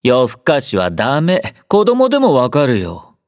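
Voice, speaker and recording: A young male android soldier from a video game, gentle and composed. He speaks softly and slowly, with wide, expressive swings in pitch and a drowsy, mildly teasing care. The voice is close and dry. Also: dry recording. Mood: calm, caring. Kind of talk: Japanese game character voice acting.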